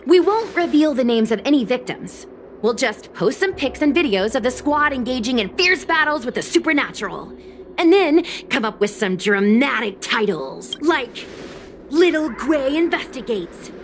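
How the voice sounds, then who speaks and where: A woman's voice: high-pitched, expressive, moderate pace, slight background noise. A woman voicing a chatty, confident young girl influencer in an anime game, breezy and persuasive. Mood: boastful, persuasive. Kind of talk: anime game dialogue acting.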